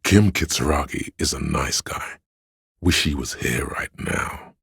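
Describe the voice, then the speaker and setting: A man narrating a video game in a scripted, dramatic style, with a gravelly, husky voice and measured delivery. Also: rumbling low, fairly bright tone, no room sound, clean studio sound.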